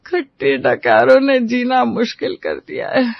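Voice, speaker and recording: A woman's voice: on the high side, sing-song, a little slow, creaky, no room sound, dull audio, pitched above the performer's own voice, full character voice. A woman voicing an older mother-in-law who is stubborn and scornful, with a whining, grumbling delivery in a story. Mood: suffering, whining.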